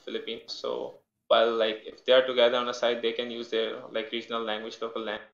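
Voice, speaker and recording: Flat, gravelly man. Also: medium pitch, roomy sound, lo-fi sound. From Pakistan. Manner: halting, many fillers. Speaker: coach.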